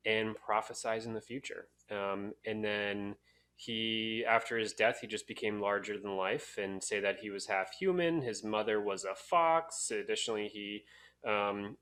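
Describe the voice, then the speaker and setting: A man hosting a casual podcast, telling stories in a halting, jokey way. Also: lowish voice, steady tone, fairly bright tone. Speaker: podcast host.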